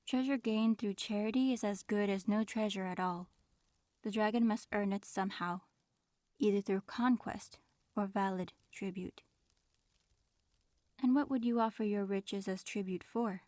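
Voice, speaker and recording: Audio roleplay: proud, aloof dragoness in human guise, played by a woman, mid-range voice, measured formal speech, dry studio-close sound. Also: medium pitch, a little mellow, no echo, natural voice. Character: proud, reserved female dragon in human form. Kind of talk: audio roleplay acting, addressing the listener.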